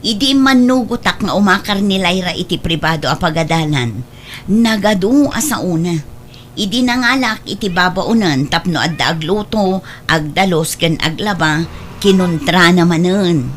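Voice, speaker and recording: A woman's voice: on the low side, lively intonation, moderate pace, slight background noise, close to an everyday voice. A woman playing a defensive, exasperated wife and indulgent mother in an audio drama, speaking in a complaining manner.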